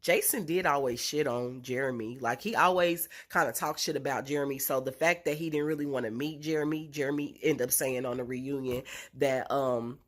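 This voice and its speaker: A woman's voice: extremely low pitch, moderate pace. A young woman with a congested, nasal voice from a cold, recapping a reality show in a chatty way with lots of ums and blunt slang. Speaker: reality TV reviewer. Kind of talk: casual recap and opinion vlog.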